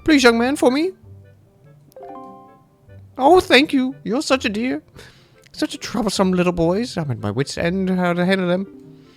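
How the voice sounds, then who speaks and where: A man voicing a flashy female schoolteacher from a game, reading her lines in a high, theatrical, sing-song voice. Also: expressive, unhurried, long pauses, faint music behind. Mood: pleading. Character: flashy middle-aged female schoolteacher, sweet and pleading. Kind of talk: reading game dialogue aloud in character.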